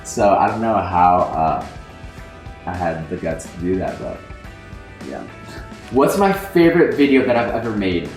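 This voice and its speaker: A man's voice: on the low side, expressive, unhurried, pauses often, a little mellow. A young man and native Spanish speaker, chatting casually through a Q&A vlog at home with rambling "like" fillers. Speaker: social media influencer. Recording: roomy echo with faint music.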